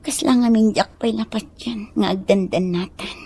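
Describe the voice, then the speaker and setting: A woman acting a wistful woman in love in a Filipino radio drama, soft and breathy, with an Ilocano accent and a hesitant manner. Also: mid-range voice, moderate pace. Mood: wistful, serious. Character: earnest, wistful woman in love named Paula.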